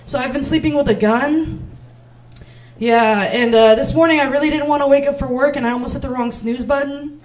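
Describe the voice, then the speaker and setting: A woman's voice: sits high, fairly even intonation, a little fast, a little mellow. A woman in her thirties doing stand-up as host in a small comedy club, playful and self-mocking on a handheld mic.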